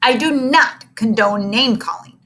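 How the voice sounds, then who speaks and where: A woman's voice: fairly high pitch, measured pace, bright, forceful tone, pitched above the performer's own voice. A woman reading aloud, voicing a stern but caring male schoolteacher who lectures formally. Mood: lecturing.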